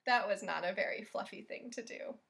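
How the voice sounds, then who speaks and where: A woman reading a picture book aloud to young children as a library storyteller, expressive and pausing to ask them questions. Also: medium pitch, gravelly.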